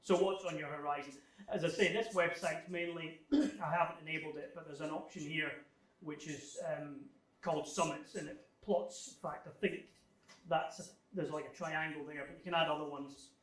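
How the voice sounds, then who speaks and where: A man giving an informal technical presentation, explaining things in a halting, thinking-aloud way as he answers questions. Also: on the high side, steady tone. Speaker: guest speaker giving a technical club talk. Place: club meeting room, live talk. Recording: echoey room, distant microphone.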